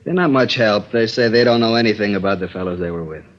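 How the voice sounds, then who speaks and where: An actor playing a helpful bilingual policeman, brisk and matter-of-fact, in a 1950s radio drama. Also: lowish voice, slight background noise, natural voice. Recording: dull vintage radio recording.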